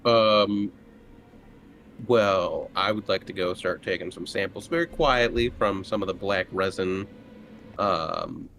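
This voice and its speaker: A man's voice: low-pitched, slow pace, a bit bright. A man playing a tabletop roleplaying game, chatting casually as he speaks for his character. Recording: noticeable background noise.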